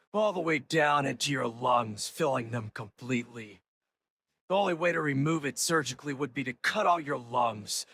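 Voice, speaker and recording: An actor playing a vengeful, menacing superhero in a comic-book drama, speaking with deliberate, measured pauses and a gravelly edge. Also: male voice, mid-range voice, bright, forceful tone, full character voice. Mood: cold, threatening. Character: vengeful, menacing male superhero threatening his enemy. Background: music.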